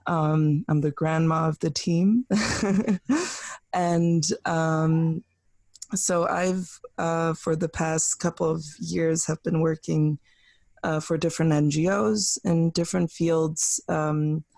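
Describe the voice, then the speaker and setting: Unhurried, gravelly young woman from Switzerland. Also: low voice, a little mellow, no room sound. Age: 20s. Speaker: podcast co-host. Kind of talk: casual podcast introduction.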